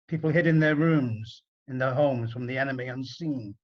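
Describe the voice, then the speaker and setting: A man narrating a rhyming story in an expressive, dramatic storybook style with deliberate phrasing. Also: medium pitch, highs cut off.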